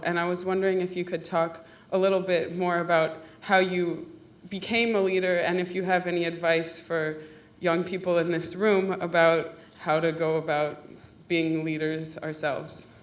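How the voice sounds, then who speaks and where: A young college woman asks the guest a question from the audience. She is polite and earnest, and her voice stays flat and even. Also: lowish voice. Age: young adult. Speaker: college student asking a question.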